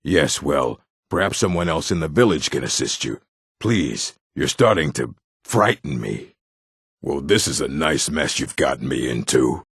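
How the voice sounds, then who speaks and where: A man's voice: very deep voice, big pitch swings, unhurried, fairly bright tone, husky, no room sound, clean studio sound, exaggerated voice. An actor playing a timid, polite orc in a video game, hesitant and flustered as he pleads. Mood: nervous. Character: timid, pleading orc gentleman, easily frightened. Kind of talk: video game character acting.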